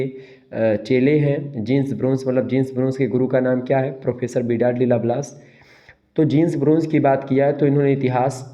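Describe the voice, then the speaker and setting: A man's voice: mid-range voice, dark tone. A man with a sore throat giving an exam-prep lecture, repeating key terms and answering his own questions. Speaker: teacher. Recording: dull lo-fi sound.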